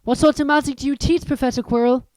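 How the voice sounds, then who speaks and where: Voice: high-pitched, steady tone, quick pace, darkish tone, pitched above the performer's own voice, natural voice. A reader voicing a polite, curious eleven-year-old boy.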